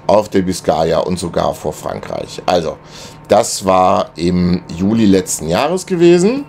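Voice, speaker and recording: A man's voice: low-pitched, darkish tone, slight background noise. A middle-aged man vlogging from the cabin of his sailboat, explaining route planning in a chatty, colloquial way with many filler sounds. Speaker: sailing vlogger. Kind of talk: casual explainer over screen maps. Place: cabin of a sailboat at anchor, wind outside.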